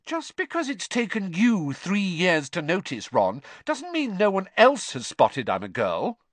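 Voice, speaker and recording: A man's voice: very high-pitched, huge pitch swings, moderate pace, pushed and bright, no echo, pitched above the performer's own voice, full character voice. A man voicing a haughty, indignant teenage schoolgirl with a lofty, snappish manner in a storybook. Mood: angry.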